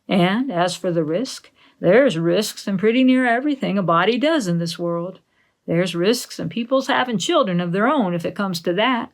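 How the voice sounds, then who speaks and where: A woman voicing a stern, practical middle-aged farm woman, measured and matter-of-fact, in a storybook reading. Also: medium pitch, natural voice.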